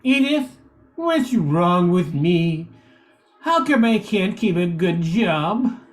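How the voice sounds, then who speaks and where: A woman's voice: fairly low pitch, very animated, takes it slowly. An older woman playing a nervous, self-pitying, down-on-his-luck man who drinks, in a 1940s-style radio comedy. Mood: glum, self-pitying.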